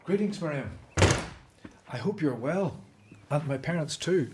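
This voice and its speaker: A man acting in a radio drama as an earnest, devout Hebrew prophet and leader, speaking in a solemn, measured way. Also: a little high, animated, husky, heavily acted. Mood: weary, hopeful.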